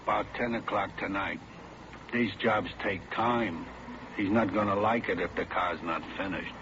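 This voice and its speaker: A man's voice: lowish voice, steady tone, normal speed, lightly acted. A man acting a plain, uneasy working garage man in a 1950s radio drama, a little hesitant. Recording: dull, noisy old radio recording.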